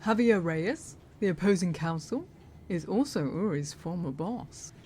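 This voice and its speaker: A woman's voice: fairly low pitch, a little slow, slight background noise. A woman playing a playful, gossipy law-office colleague in an audio roleplay, with an upbeat, teasing manner. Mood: teasing, impressed. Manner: animated, teasing.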